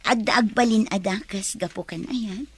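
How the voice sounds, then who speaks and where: A woman's voice: medium pitch, moderate pace, slightly rough, no room sound, close to an everyday voice. A woman with an Ilocano accent playing a lovelorn woman confiding in a friend in a radio drama. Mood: wistful.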